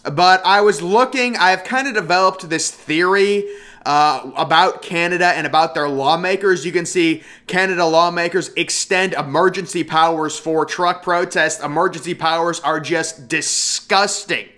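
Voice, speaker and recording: A young man speaks into a desk webcam setup in a room at home. His voice sits a little high and rings bright and pushy. He rants with indignation, rushing through his points and restarting his sentences. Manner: indignant, rapid ranting with frequent restarts. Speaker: political commentary vlogger. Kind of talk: opinionated screen-share commentary.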